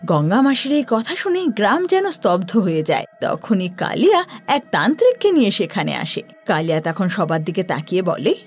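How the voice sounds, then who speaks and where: A woman narrating a scripted horror story in a dramatic, expressive way. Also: mid-range voice, normal speed, breathy edge, faint music behind.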